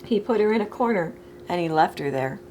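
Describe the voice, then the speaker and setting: A woman reading a children's picture book aloud expressively, with deliberate phrasing, as a storytime reader. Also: lowish voice, animated, darkish tone, slight background noise.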